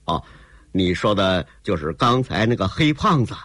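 Man doing proud young gentleman, quick temper, brusque, low husky voice, dry sound. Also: very animated, dry recording. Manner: brusque, scoffing.

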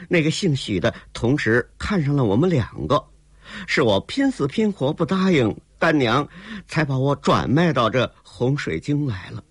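A male storyteller voicing a soft, pleading young courtesan. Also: mid-range voice, sing-song, normal speed, slightly rough, dry recording, studio quality, voice pushed up. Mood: worried. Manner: coaxing, tearful pleading.

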